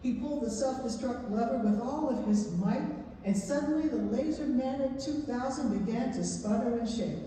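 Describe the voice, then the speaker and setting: A woman giving an animated staged reading of a children's book, recorded from far off in an echoey theatre. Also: fairly high pitch, moderate pace, a little hiss or hum. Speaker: stage reader.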